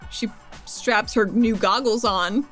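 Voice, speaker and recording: A woman's voice: mid-range voice, slow, fairly bright tone, faint music behind. A woman playing a tabletop role-playing game, chatting casually and playfully as she improvises narration.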